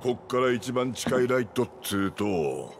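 An actor playing a gruff, hot-headed rebel tough guy in a video game, with rough, blustering, slangy speech. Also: mid-range voice, very animated, a little slow, background noise. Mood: determined. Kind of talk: dramatic game cutscene acting. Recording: loud music behind the voice.

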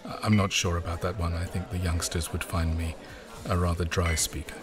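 A man's voice: low-pitched, flat delivery, brisk, over music, close to an everyday voice. An actor playing a modest, mild-mannered man in an audio drama, speaking politely and a little hesitantly. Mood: mild, content.

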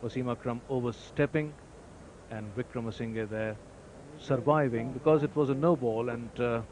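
A man giving ball-by-ball cricket commentary, lifting his voice sharply when wickets fall. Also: medium pitch. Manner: excited rises on wickets, measured otherwise. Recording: noisy old broadcast tape.

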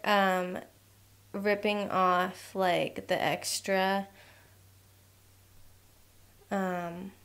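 A young woman hosting a home cooking channel, walking through a recipe in a relaxed way with lots of "um" and "like". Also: lowish voice. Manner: relaxed, filler-heavy instructions with frequent "um" and "like". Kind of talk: casual recipe voice-over.

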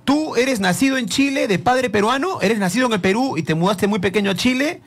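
Male sports radio host, high voice, chatty and meandering. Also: a little fast. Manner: lively, rambling questions with many restarts. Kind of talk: live radio sports interview. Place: radio studio.

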